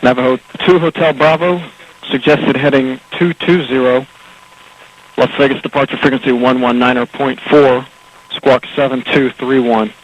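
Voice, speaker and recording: A man working as an air traffic controller, giving procedural information in a halting, matter-of-fact way over radio. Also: medium pitch, steady tone, rough recording. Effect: aviation radio and landline. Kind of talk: radio phraseology, procedural position briefing.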